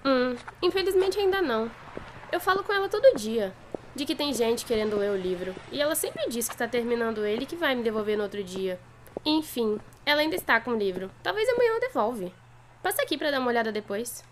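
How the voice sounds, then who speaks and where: A woman's voice: a little high, big pitch swings, a little fast, a little hiss or hum. A woman playing a sly, evasive young schoolgirl making casual excuses in a radio drama, with a Brazilian accent. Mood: feigned innocence.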